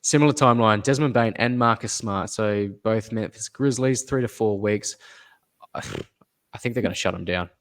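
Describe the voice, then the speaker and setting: A man co-hosting a fantasy basketball podcast, giving measured advice with fillers and repeating his main points. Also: fairly low pitch. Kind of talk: casual podcast analysis.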